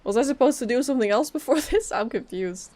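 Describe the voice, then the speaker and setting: A woman's voice: fairly high pitch, lively intonation, fast, warm tone. A woman game streamer giving casual, offhand live commentary as she plays.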